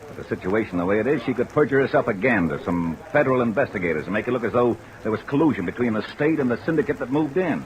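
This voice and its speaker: An actor playing a bitter, cynical fugitive in an old radio drama, speaking deliberately. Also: male voice, medium pitch, expressive, highs cut off, close to an everyday voice. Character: cynical, bitter male fugitive accusing a woman. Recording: noisy vintage radio recording with rain.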